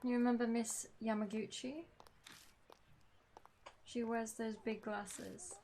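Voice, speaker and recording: High-pitched woman streaming a visual novel. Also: fairly even intonation, slow, mellow, slight background noise. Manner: casual reactions between read-out lines. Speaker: game streamer. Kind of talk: reading a visual novel aloud with casual commentary. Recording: music behind the voice. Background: game music.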